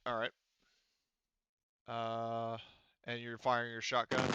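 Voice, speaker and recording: A man's voice: medium pitch, monotone, slow pace, sharp tone, slight background noise. A man running a tabletop game as game master, narrating casually and informally with some swearing, on slightly dull audio.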